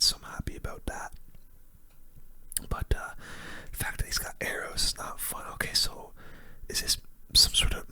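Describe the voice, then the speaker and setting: A person giving casual, rambling game commentary in a breathy, unvoiced ASMR whisper, close to the microphone. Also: bright, forceful tone. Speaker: gaming video host.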